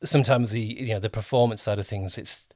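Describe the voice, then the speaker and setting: A man's voice: on the low side, fairly even intonation, quick pace, a little mellow, dry recording, studio quality. A man hosting a casual interview, rephrasing his questions conversationally as he goes. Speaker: podcast host and producer.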